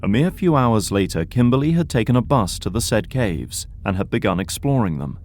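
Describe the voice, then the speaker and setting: Low-pitched male audio drama narrator. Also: lively intonation, brisk, a little mellow.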